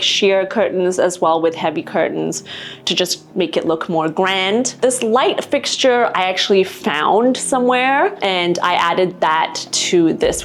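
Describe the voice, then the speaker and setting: Chatty woman hosting a house tour, music behind. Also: mid-range voice. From Singapore. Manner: chatty, conversational, explanatory. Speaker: vlog host. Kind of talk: casual house tour presentation to camera. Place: indoors in a house. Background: background music.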